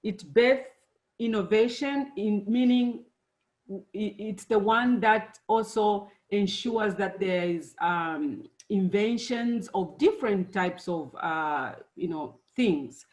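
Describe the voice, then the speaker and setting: A middle-aged woman born and raised in Zambia, giving a friendly, unhurried presentation to students, with many fillers. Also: medium pitch, darkish tone. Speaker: engineer giving a talk to students.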